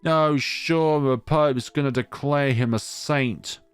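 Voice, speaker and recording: A man's voice: medium pitch, takes it slowly, clear, resonant tone, no echo, faint music behind, voice pushed up, close to an everyday voice. A man voicing a wry, skeptical young male friend in a visual novel, teasing and exasperated. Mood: mocking.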